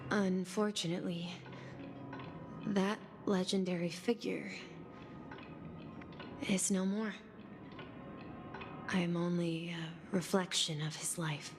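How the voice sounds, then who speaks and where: Gentle wise boy in a game, solemn, formal, slow, lowish for her, music behind. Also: steady tone. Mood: solemn, grateful. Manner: measured, formal, earnest. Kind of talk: video game voice acting.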